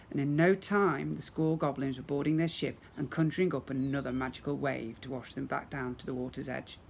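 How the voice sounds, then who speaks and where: A man's voice: on the high side, gravelly. A man narrating a children's story expressively, as a teacher reading to young pupils. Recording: faint background noise.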